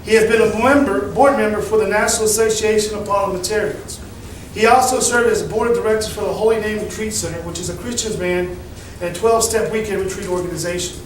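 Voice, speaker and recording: Loud folksy man in echoey hall. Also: high voice, fairly even intonation, fast talker, hardly any pauses, far from the mic, a little hiss or hum. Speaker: meeting chairman. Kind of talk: public address to a meeting. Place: community center hall.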